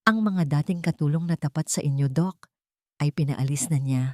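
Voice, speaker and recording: A woman's voice: low voice, moderate pace, mellow, no room sound, clean studio sound, lightly acted. A woman voicing a businesslike male investigator, formal and deferential, reporting to a client in an audio drama. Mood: grave.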